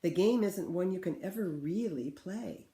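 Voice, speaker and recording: A woman reading a picture book aloud to children in an expressive, teacherly way, pausing to ask them questions. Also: lowish voice. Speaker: read-aloud host for children. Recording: roomy, echoing room sound.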